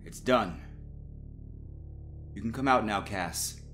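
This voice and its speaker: A woman voicing a menacing, coolly controlling young fraternity brother in a horror story, husky and deliberate. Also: a bass voice, a bit bright, pitched below the performer's own voice, heavily acted. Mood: cold, menacing. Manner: slow, deliberate, ominous. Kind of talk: scripted horror story character. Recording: music bed behind the voice.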